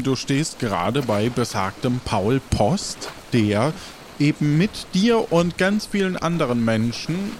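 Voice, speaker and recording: A man hosting and narrating an improvised adventure play as game master, announcing theatrically with drawn-out pauses. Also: medium pitch, slight background noise. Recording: clear music behind the voice. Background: music.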